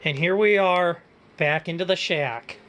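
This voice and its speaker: An American man with a somewhat high voice presents as an amateur hobbyist. He talks casually, in the manner of a homemade vlog walkthrough of his own gear. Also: a little slow, fairly bright tone, a little hiss or hum.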